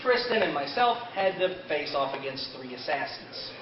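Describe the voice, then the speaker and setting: A man with a fairly high voice gives an informal fan panel talk. He is picked up from a distance in a roomy space, with a crowd clearly present around him. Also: big pitch swings, moderate pace. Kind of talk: informal panel talk about an anime, partly in character. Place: convention panel room, indoors. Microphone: distant camera microphone. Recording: roomy, dull, distant camera with audience.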